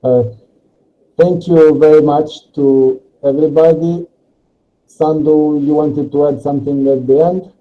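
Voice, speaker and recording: A man, not an English speaker, hosting a casual discussion in halting, deliberate phrases. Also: fairly high pitch, fairly even intonation. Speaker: discussion host. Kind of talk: casual moderated panel discussion. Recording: dull, lo-fi sound.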